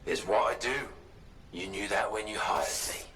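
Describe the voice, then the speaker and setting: Sneering bandit with a forceful edge. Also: male voice, on the low side, normal speed, slight background noise. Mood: cold threat. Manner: taunting, menacing. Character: sneering greedy male bandit and mercenary, ex-Sentinel. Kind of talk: video game villain dialogue.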